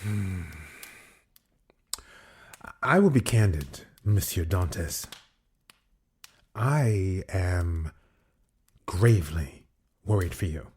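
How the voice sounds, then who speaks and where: A man playing an uneasy, formal, calculating inspector in an audio drama, officious and halting as he questions a prisoner. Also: sits low, expressive, a little mellow, breathy edge, exaggerated voice. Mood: grave, tense.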